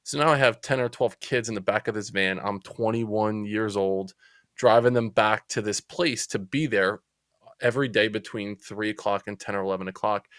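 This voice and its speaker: A man in his forties with a New Jersey accent, telling stories as a podcast guest in a chatty, lively way. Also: fairly low pitch, moderate pace, no room sound, clean studio sound. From Central New Jersey. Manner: chatty, animated storyteller. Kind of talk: casual storytelling interview.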